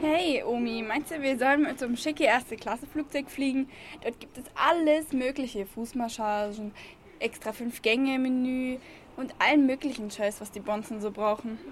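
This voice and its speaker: A woman's voice: sits high, moderate pace, close to an everyday voice. A woman playing a cool, impulsive young songwriter in an amateur radio play, blunt and slangy. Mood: flippant. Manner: slangy, brash, swearing.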